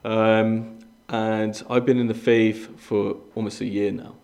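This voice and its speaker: A man's voice: fairly low pitch, flat delivery, takes it slowly, vocal fry, darkish tone. A young man from Iran speaking as a guest preacher, sharing a personal testimony in an earnest, conversational way with frequent fillers and restarts.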